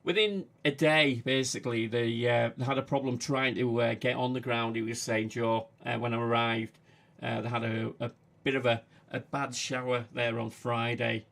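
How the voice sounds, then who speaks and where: A man with a Manchester accent chats casually on a livestream, his voice gravelly and fairly flat. He stops and starts, filling gaps with "uh" as he talks. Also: mid-range voice. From England. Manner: halting, frequent "uh" fillers. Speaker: livestream host.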